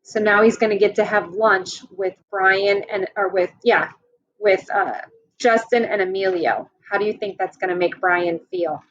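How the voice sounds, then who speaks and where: A woman's voice: mid-range voice, fairly bright tone, muffled recording. A woman teacher reading a picture book aloud to children and stopping to ask them questions in a patient way. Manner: slow, patient, asks questions to young listeners. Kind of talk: read-aloud story with class questions.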